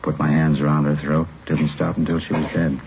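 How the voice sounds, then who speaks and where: An actor playing a resigned, obsessed doctor who confesses in a halting, brooding way, in 1950s radio drama style. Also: male voice, a bass voice, monotone. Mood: brooding confession. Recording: muffled, hissy old radio recording.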